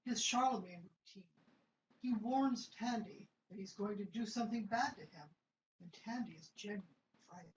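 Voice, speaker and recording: A woman's voice: mid-range voice, normal speed, slight background noise. Woman reading stage directions, roomy. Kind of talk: radio play reading. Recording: dull roomy recording.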